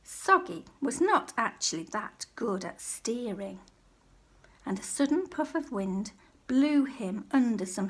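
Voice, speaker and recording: A woman reading a children's picture book aloud as a teacher, in a measured, expressive storytelling manner. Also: fairly high pitch. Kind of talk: storybook read-aloud, scripted narration.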